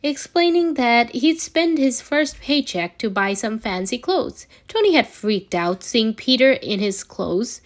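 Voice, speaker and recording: A woman narrates a written story as an amateur audiobook reader. Her voice sits a little high and she reads very slowly. The delivery is halting, with small stumbles over the words. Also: sing-song. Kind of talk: scripted narration, story read aloud.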